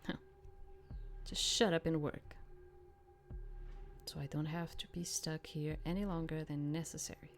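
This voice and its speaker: A woman plays a cynical young male prisoner in a dark visual novel. He speaks low and slowly, with mocking contempt and a taunting edge. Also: fairly even intonation, a little hiss or hum. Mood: irritated, mocking.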